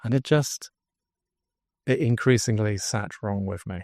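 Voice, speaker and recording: An English man, guest on a podcast interview, telling his story as a drinks brand founder in an earnest pitch. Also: measured pace, creaky, a little mellow, dry recording, studio quality. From England.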